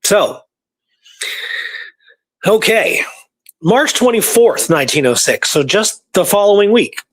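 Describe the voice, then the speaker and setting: Man, slightly high voice, podcast host, conversational history storytelling, detailed with dates and records. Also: very animated, slow, poor audio.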